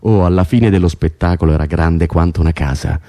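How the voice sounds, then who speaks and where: An actor playing a bossy, coaxing prisoner in a naturalistic radio drama, insistent and teasing, often repeating himself. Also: male voice, sits low, steady tone, a little fast, warm tone. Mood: excited, nostalgic. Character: bossy, coaxing, excitable male prisoner rehearsing a play.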